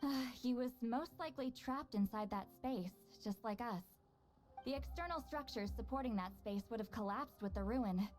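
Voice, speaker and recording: A woman's voice: fairly high pitch, moderate pace, a little mellow, natural voice. A woman playing a proud, ageless female shaman in a video game, youthful-sounding, earnest and firm. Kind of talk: video game character acting. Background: music.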